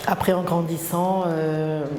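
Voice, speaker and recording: A middle-aged woman, speaking hesitantly and reflectively as an interviewee, with many pauses and restarts. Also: on the low side, little pitch movement, darkish tone, slight background noise. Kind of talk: personal testimony interview. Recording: echoey room, long reverb, faint ambience.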